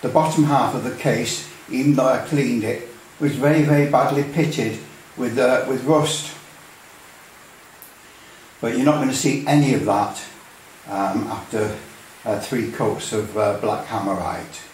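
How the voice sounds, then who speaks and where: A man giving an informal how-to narration in a halting, unscripted way, recorded at a distance in an indoor workshop. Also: mid-range voice, steady tone. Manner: halting, unscripted delivery with many hesitations. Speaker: hobbyist presenter demonstrating restoration. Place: hard-surfaced workshop indoors. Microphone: distant camera microphone. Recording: very echoey, distant, noisy room sound.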